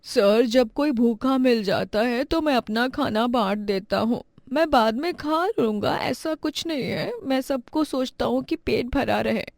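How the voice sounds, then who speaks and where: A woman's voice: on the high side, measured pace, vocal fry, hardly any pauses, darkish tone, no room sound, studio quality, pitched above the performer's own voice. A woman voicing a poor, selfless schoolboy in a storybook tale, polite and earnest in manner. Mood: hesitant, modest. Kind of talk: storybook character acting.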